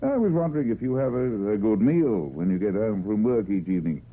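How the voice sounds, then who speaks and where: A paternalistic, fussy male boss lectures with formal condescension. His low voice is husky and swings widely in pitch. It comes through thin and narrow, like an old radio drama. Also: animated, a little fast. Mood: probing.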